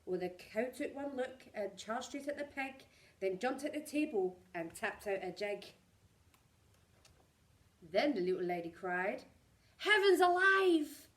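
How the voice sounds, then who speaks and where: A woman storyteller reading a rhyming picture book aloud to young children in an expressive way. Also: medium pitch, measured pace, distant mic.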